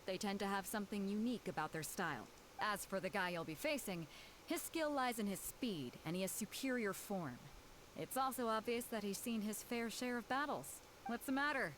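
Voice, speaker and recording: Casting: actress as bold female ship captain for a game, mid-pitched, casual, assured, ribbing, slight background noise. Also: animated, normal speed, a bit bright, close to an everyday voice, rough recording. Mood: assured, teasing. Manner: self-assured, casual, teasing. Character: confident, teasing woman sea captain, seasoned fighter. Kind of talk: video game voice acting.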